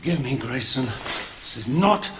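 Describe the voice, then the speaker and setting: A man playing a calm, self-righteous villain in a video game cutscene, speaking in a measured, sinister way. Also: medium pitch, background noise, natural voice. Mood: solemn menace. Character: calm, sinister villain justifying himself to his brother.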